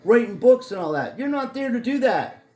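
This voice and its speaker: A middle-aged man with a fairly high, hoarse voice rants into the camera, cursing and rambling with angry conviction. His voice rings off the bare walls of an echoey room. Faint music plays underneath. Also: big pitch swings, moderate pace, dark tone. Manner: angry, profane, rambling rant. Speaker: vlogger. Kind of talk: ranting vlog. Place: his home in Florida.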